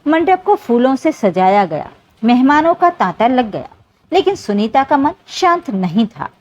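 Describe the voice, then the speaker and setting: A woman's voice: on the high side, sing-song, a little slow, a little mellow. A woman narrating a scripted story in an emotive, dramatic way. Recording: dull, lo-fi sound.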